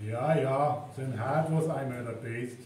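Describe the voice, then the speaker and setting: A man's voice: medium pitch, slow, darkish tone, natural voice. A man with a North Frisian accent playing a blunt local who scoffs at superstition in a live radio play. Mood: dismissive. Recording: echoey live stage recording, distant, faint noise.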